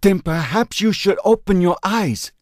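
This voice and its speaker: Man voicing a calm, formal Chinese friend. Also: high-pitched, unhurried, no echo, studio quality, pitched above the performer's own voice. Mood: earnest. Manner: formal, no contractions, preaching.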